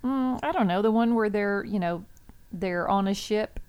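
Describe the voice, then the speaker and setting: A woman's voice: mid-range voice, normal speed, darkish tone, clear, resonant tone. A woman co-hosting a radio show in casual banter, self-deprecating and talking back in short replies. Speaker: radio co-host.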